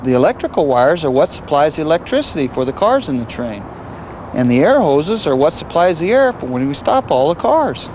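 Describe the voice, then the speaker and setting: A man's voice: a little high, sing-song, brisk, darkish tone, a little hiss or hum. A man playing a friendly train engineer with an American accent, patiently explaining things to children in an educational film. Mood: patient, instructive.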